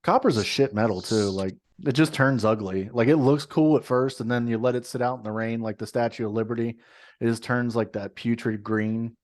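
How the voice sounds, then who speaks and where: A man's voice: mid-range voice, brisk, darkish tone. A man co-hosting a podcast in casual chat, ranting with profanity and disbelief. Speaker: podcast co-host.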